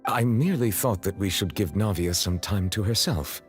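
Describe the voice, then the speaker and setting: An actor playing a dignified, socially awkward gentleman in an anime-style game, speaking in a formal, measured, stiff manner. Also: lowish voice, lightly acted. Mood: reserved, awkward. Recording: game music behind the voice.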